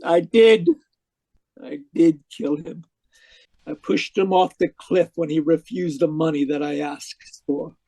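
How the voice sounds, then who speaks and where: A man's voice: a little high, steady tone, slow pace, gravelly, dark tone, no echo, heavily acted. A man playing an anguished, deluded murderer in an old-time radio drama, pleading and melodramatic. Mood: fearful, confessing. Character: anguished, deluded male murderer convinced he is dead.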